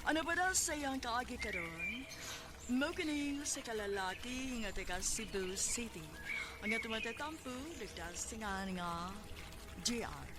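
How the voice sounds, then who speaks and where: A woman's voice: a little high, expressive, measured pace, loud music behind the voice. A woman with a Cebuano Filipino accent announcing a radio drama from a script, with noise in the recording.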